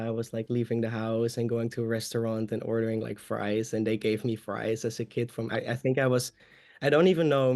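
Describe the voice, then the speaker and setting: A man's voice: medium pitch, creaky, darkish tone, no room sound. A young man from Austria, a native German speaker, chatting openly as an interview guest, with fillers and restarts. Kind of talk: casual conversation.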